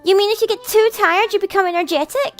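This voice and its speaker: A woman voicing a bubbly, childlike and clingy young cat girl, excitable and cutesy. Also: very high-pitched, fast, faint music behind, voice pushed up. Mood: puzzled.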